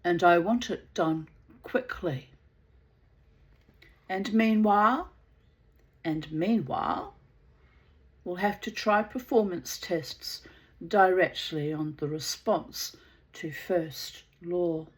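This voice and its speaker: A woman with a New Zealand accent reading a cool, measured female robot psychologist in a storybook, explaining firmly. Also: fairly low pitch.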